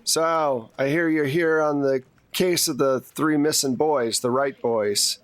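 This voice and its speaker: A man's voice: fairly high pitch, takes it slowly, dry recording. Guarded small-town police chief acted by a man. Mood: guarded, defensive. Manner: plain-spoken, defensive, folksy.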